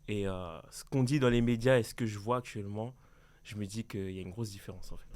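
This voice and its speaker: A young man on a round-table podcast, talking in a hesitant way with many pauses. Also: lowish voice, a little mellow. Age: young adult. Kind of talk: casual round-table chat.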